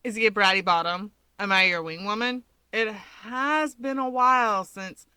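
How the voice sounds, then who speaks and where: A brash, sharp-voiced hero woman, as a female narrator plays her in an audio story. She is blunt and sardonic, teasing one moment and exasperated the next, with a dry, close sound. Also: mid-range voice, normal speed, smooth, no room sound, close to an everyday voice.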